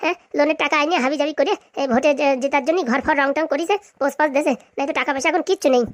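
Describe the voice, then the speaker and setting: A woman plays a cheeky cartoon villager. The words pour out extremely fast in long, sarcastic, indignant arguments that talk back to authority. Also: sits high, steady tone, dry recording, clean studio sound, natural voice. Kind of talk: comic cartoon dialogue.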